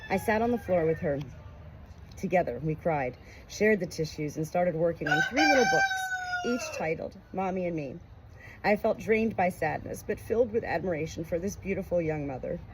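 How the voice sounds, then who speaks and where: A woman narrating a memoir aloud in an expressive, emotive way. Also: lowish voice, moderate pace, slight background noise, poor audio. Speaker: audiobook reader.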